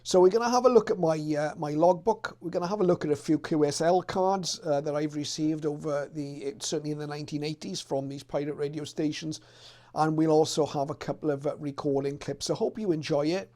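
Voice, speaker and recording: Higher-voiced middle-aged man, halting and thoughtful. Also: steady tone, darkish tone. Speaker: radio hobbyist presenter. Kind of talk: casual reminiscing explainer to viewers.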